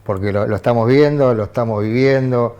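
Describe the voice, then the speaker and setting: A man with an Argentine accent, a journalist guest on a TV political panel who talks in halting phrases with frequent restarts and fillers. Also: medium pitch, dark tone, smooth. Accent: Argentine Spanish. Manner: hesitant, many restarts and fillers. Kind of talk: TV studio political discussion. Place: TV studio.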